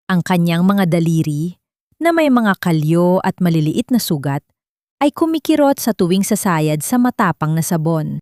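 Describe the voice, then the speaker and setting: A woman's voice: mid-range voice, a little mellow, no echo. Woman narrating a drama, very dry sound. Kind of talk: dramatic scripted audio story narration.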